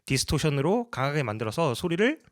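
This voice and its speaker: A man's voice: a little high, big pitch swings, fast, heavy background noise. A man narrating a casual tutorial as a sound designer, explaining each step politely.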